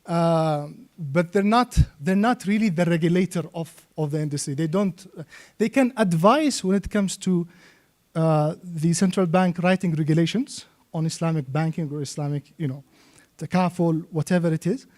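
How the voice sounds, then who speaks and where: A man speaks as a seminar trainer, in a fairly high, mellow voice. He explains step by step and keeps tagging his points with little checking questions. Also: normal speed. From Yemen. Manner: checks understanding with frequent "okay?" and "right?". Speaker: trainer and Islamic finance expert.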